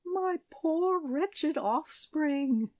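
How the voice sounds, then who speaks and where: A woman voicing a shrill wailing queen. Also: lively intonation, darkish tone, exaggerated voice. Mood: anguished. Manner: wailing, slow, melodramatic. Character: shrill grieving haughty queen, mother.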